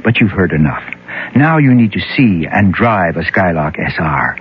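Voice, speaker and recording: A male announcer reading a car commercial in a smooth selling manner. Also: sits low, sing-song, normal speed, slight background noise. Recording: tinny old radio ad.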